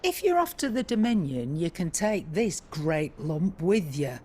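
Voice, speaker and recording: A brusque old trader woman from a video game. She is blunt, salty and teasing, with a very lively, swinging delivery. There is faint noise behind her. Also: fairly low pitch, unhurried. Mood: brisk, teasing. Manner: blunt, scolding, colloquial. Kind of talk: video game cutscene acting.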